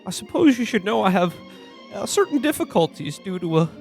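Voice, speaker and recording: A man's voice: sits high, very animated, darkish tone, faint music behind. A man playing a naive, bashful podcaster who stammers in a parody of old film acting. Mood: awkward. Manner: stammering, halting. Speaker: podcast host playing himself. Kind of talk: parody of 1940s film acting in a radio play.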